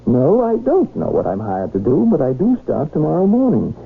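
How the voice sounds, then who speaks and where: An earnest young doctor in an old radio play. His lightly high, lively voice is polite and hesitant, coming through a tinny, noisy broadcast. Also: normal speed, gravelly, exaggerated voice. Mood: soothing, defensive. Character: earnest, hesitant young English doctor, eager but uneasy. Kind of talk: old-time radio drama acting.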